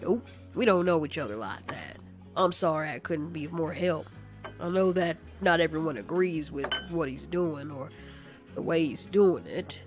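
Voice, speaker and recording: A man voicing a calm, confiding male monster who runs a restaurant, casual and measured, in an amateur audio story. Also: fairly high pitch, soft background music.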